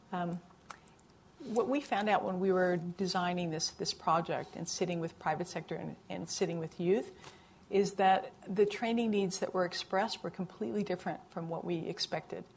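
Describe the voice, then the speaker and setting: A woman's voice: medium pitch, darkish tone, a little hiss or hum. A woman answering as a panelist, earnest and thoughtful, often repeating words as she builds her point.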